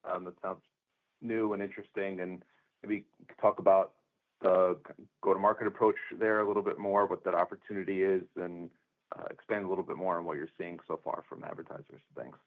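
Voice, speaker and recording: A man's voice: low voice, fairly even intonation, brisk. A man speaking as an analyst, putting casual, loosely phrased and somewhat hesitant questions on an earnings call. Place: phone call. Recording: narrow tinny phone line.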